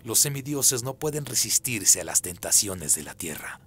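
A man's voice: lowish voice, big pitch swings, moderate pace, pushed and bright. A man voicing a proud, sly fallen angel in a radio play, taunting and persuasive. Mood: scheming.

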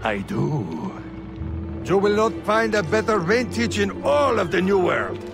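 Roguish Spanish sea captain, animated. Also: male voice, sits high, a little slow, vocal fry, heavily acted. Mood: boastful flirting. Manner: boastful, flirtatious. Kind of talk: video game cutscene acting. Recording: music behind the voice.

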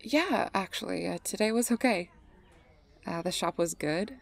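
Creaky, doting fey sweetheart, acted by a woman. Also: mid-range voice, lively intonation, normal speed. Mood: chatty, easy. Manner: intimate, doting, often breathy whispering. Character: obsessive, sweet-talking fey woman posing as a devoted lover. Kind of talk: ASMR roleplay, close personal attention.

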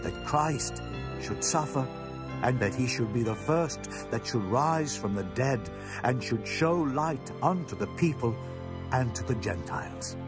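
A man's voice: mid-range voice, expressive, a little slow, close to an everyday voice. A man playing an earnest, impassioned prisoner defending himself in a solemn, declamatory scripture drama. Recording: prominent music bed under voice.

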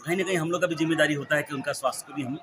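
A man's voice: on the high side, fairly even intonation, very fast, background noise. A man in his forties gives an interview into a handheld mic at an indoor town hall event, talking earnestly and at length, with English phrases mixed in. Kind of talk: news interview. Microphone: handheld reporter mic. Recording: clear hall ambience behind.

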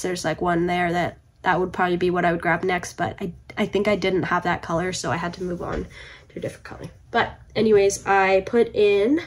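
A young woman with a congested, stuffy voice, chatting casually about her cross stitch projects from a home room, with lots of fillers and restarts. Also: mid-range voice. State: congested from a cold. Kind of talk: casual chat to camera.

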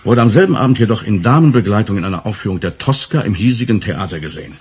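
An actor playing a stern, formal senior police official in a radio crime drama, speaking in measured bureaucratic sentences with a husky voice. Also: male voice, fairly low pitch, animated, darkish tone. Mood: formal, insinuating. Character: stern, formal senior police superior, male, pedantic and cool.

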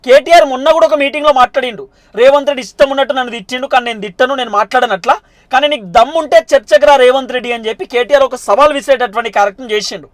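A male news presenter with a Telangana Telugu accent gives informal commentary in a very high, quick voice. The sound is close and dry, and he circles back to explain his points again. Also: no room sound.

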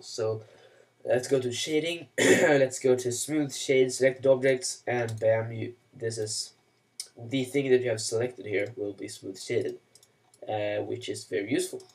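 A man who grew up speaking Swedish, walking through software steps in a loose, self-mocking way with plenty of fillers. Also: medium pitch, fairly even intonation, measured pace, distant mic. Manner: rambling, self-mocking asides, many "uh" and "you know". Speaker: software tutorial maker. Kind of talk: casual screen-recorded software tutorial.

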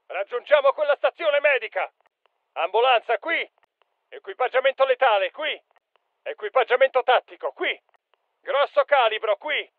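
Urgent high-pitched soldier, muffled sound. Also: male voice, expressive, normal speed, no echo. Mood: firm, alert. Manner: clipped, emphatic military delivery. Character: urgent male special forces soldier calling out battlefield alerts. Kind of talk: video game combat callouts.

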